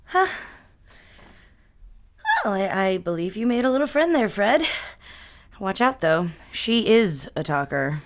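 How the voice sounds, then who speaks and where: A woman's voice: medium pitch, very animated, unhurried, long pauses. A woman playing a friendly, easily flustered young tenant in an audio drama, with a wry manner. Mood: wry, teasing.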